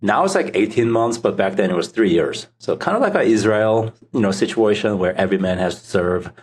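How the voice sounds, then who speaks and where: A man's voice: fairly low pitch, expressive, a little fast, slight background noise. A middle-aged man from South Korea with a Korean accent, giving casual answers as a podcast guest. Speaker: podcast guest, startup founder.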